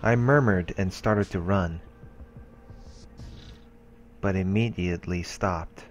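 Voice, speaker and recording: A let's play host reads a story's narration aloud in a steady way. He drops casual comments between passages. His voice is very low, and faint game music plays behind him. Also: takes it slowly. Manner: steady reading with casual asides.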